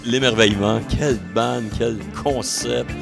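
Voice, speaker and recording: A man's voice: medium pitch, fairly even intonation, a little slow, vocal fry. Interviewee: older Quebec man, mid-range voice, keen storyteller, music underneath. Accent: Quebec French. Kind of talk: enthusiastic reminiscence. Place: busy venue lobby, indoors. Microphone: handheld interview microphone.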